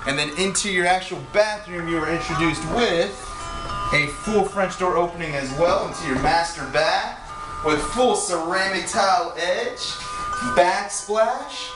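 Young salesman, high bright voice, eager pitch, roomy echo, camera a few steps off, music behind. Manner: enthusiastic, pushy salesman patter. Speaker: home salesman giving a walkthrough tour. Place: inside an empty show home. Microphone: handheld camera from a few steps away. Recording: echoey rooms, mic at a distance, music behind.

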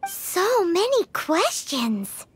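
A woman voicing a tiny, bubbly girl fairy companion in a video game, with cute, exaggerated, drawn-out delivery. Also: extremely high pitch, wildly swooping pitch, full character voice. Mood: puzzled. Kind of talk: video game character dialogue.

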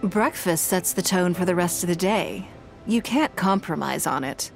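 A woman voicing a poised, elegant noblewoman leader in a video game, composed, gracious and measured. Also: fairly low pitch, lively intonation, gravelly, soft background music. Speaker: game character.

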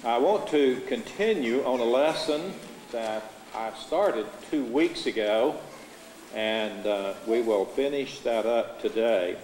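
A middle-aged American man preaching a sermon from a church pulpit, reading Scripture in a measured, earnest way. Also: fairly low pitch, darkish tone. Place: church sanctuary, at a pulpit before a curtain. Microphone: lapel mic. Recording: echoey church hall, faint room noise.

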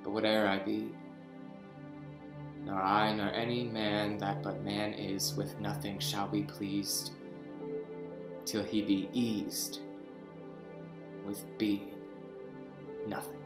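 A man's voice: fairly low pitch, little pitch movement, echoey room, over loud music. A man playing a brooding jailed king. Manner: slow, swings from hushed whispers to outbursts. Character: deposed imprisoned king, brooding and bitter. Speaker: actor in a staged reading. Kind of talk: Shakespeare reading over video call. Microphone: video call webcam.

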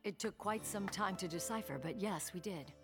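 A woman voicing a stern, commanding middle-aged village leader in an anime dub, authoritative and measured. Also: medium pitch, over music, close to an everyday voice.

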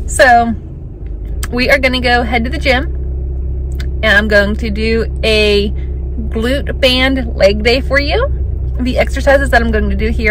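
Young female fitness vlogger, fairly high voice, excitable casual delivery, phone at arm's length with noticeable background noise. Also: poor audio. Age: young adult. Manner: bubbly, excitable, emphatic. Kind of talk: casual chat to camera. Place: mostly inside a car, also outdoors and a small room.

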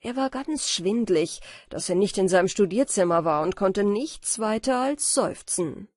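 A woman reading a fairy-tale audiobook as narrator, in an expressive storytelling style. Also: medium pitch, unhurried, dry recording, clean studio sound.

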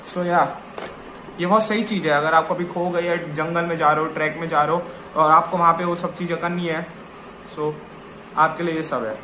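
A man's voice: on the high side, steady tone, normal speed, sharp tone. A young man chatting casually to camera in an informal, slangy way, often repeating himself. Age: young adult. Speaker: vlogger. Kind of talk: casual chat to camera, Hindi mixed with English. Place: small living room, later outdoors on open ground. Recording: roomy echo, clear background noise.

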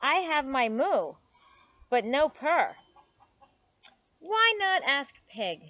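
A woman voicing a brisk, helpful cow in a children's storybook, with emphatic moos. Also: high-pitched, very animated, bright, forceful tone, no room sound, lo-fi sound, voice pushed up, heavily acted. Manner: emphatic animal mooing.

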